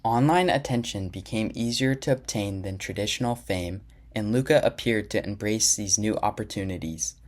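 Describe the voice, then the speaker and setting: A man with a lowish voice narrates a documentary from a script. His delivery is even and measured.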